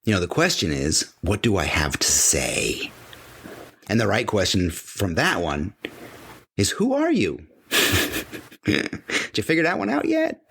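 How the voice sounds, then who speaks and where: A man's voice: fairly low pitch, wildly swooping pitch, unhurried, gravelly, pauses often, a bit bright, slightly rough. A middle-aged man hosting a podcast, giving an emphatic motivational talk that repeats phrases for weight and acts out the voices of imagined people. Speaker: podcast host. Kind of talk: motivational monologue.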